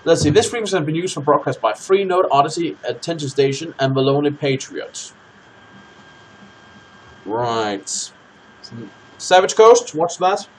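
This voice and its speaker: A man hosting a gaming let's play, chatting casually to his audience and reading game text aloud in an animated way. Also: on the high side, unhurried, long pauses, background noise.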